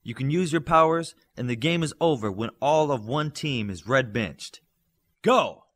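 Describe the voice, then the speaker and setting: Stern coach played by a male narrator, authoritative and projected, swinging widely in pitch, audiobook drama. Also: animated, measured pace. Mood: commanding. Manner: loud, clipped, authoritative. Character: stern, commanding male coach training young superheroes.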